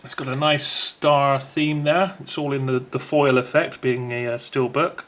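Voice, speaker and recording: A man's voice: medium pitch, steady tone, a little slow, a bit bright, a little hiss or hum. A man presenting an unboxing video in a casual, unscripted way, chatty and rambling as he thinks aloud.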